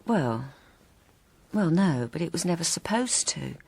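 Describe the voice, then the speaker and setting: A woman's voice: sits low, sing-song, unhurried, darkish tone, slightly rough, heavily acted. An actress playing a lonely, wistful housewife narrating her own story in a radio drama, confiding and understated. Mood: quiet, defensive.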